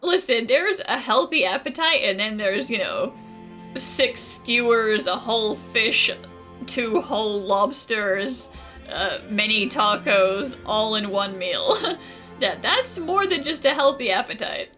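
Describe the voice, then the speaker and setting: A woman gamer with a bright, forceful, fairly high voice. She speaks informally and wryly as she plays, with very animated intonation. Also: slow, soft background music. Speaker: gamer playing a story quest. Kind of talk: casual gameplay reactions and dialogue reading.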